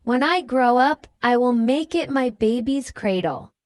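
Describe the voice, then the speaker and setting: A woman's voice: a little high, expressive, measured pace, dry recording. A woman voicing a mischievous, wicked child in a children's storybook, gleeful and gloating in a taunting manner.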